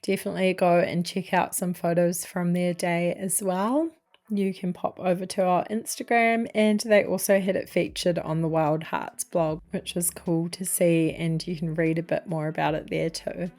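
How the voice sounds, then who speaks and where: A woman's voice: on the low side, fairly even intonation, normal speed, a little mellow. A woman with a New Zealand accent, hosting a podcast in a friendly, chatty interview style. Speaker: podcast host, wedding photographer.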